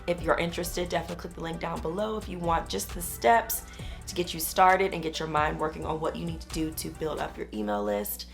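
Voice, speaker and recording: A hoarse woman business coach, chatting upbeat to camera. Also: low-pitched, a little fast, soft background music. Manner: chatty, upbeat, uses "y'all". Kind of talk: casual talk to camera.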